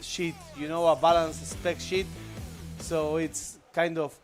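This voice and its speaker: A man from Argentina with an Argentine Spanish accent, co-commentating live esports in halting, enthusiastic English. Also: a little high, level tone, soft background music.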